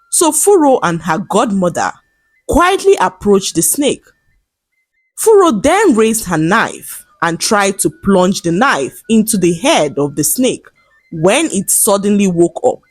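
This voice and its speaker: A woman tells a folktale at an unhurried pace, her breathy voice rising and falling with lively pitch. The sound is close and dry. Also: no room sound. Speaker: storyteller. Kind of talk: scripted folktale narration.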